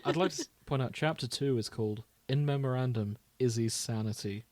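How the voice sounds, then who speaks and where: A man's voice: mid-range voice, fairly quick, no room sound. Laughing male podcast co-host, close and dry. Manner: jokey, animated reactions, often laughing. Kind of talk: casual banter, reading fan fiction aloud.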